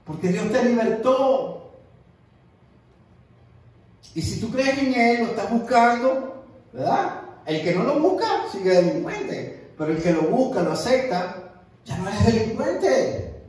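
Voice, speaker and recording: A man's voice: extremely high pitch, big pitch swings, takes it slowly, long pauses, a little mellow. A man preaching a sermon as an evangelist, emphatic and repeating his words for stress. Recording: echoey room, distant mic.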